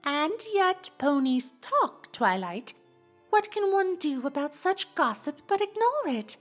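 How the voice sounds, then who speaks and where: A refined female unicorn socialite from a cartoon fan drama. Her voice is high and sweeps dramatically up and down. She teases and gossips in a genteel, affected way, over music. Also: very animated, full character voice. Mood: coy gossiping. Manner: affected, genteel, theatrical delivery with drawn-out words. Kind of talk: fan fiction audio drama acting.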